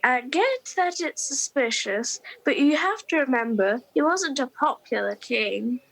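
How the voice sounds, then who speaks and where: A woman's voice: sits high, animated, unhurried, vocal fry, a bit bright, exaggerated voice, rough recording. A woman playing a shifty, flippant fake priest with a casual, evasive manner in a comedy radio play.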